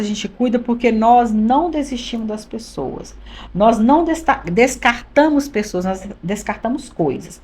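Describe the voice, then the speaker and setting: A woman in her early fifties, from Minas Gerais, speaks as a guest on a church podcast. Her voice sits a little high. She talks with earnest emphasis, circling back to repeat her key phrases. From Minas Gerais, Brazil. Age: 50s. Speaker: pastor's wife, podcast guest. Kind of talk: conversational testimony.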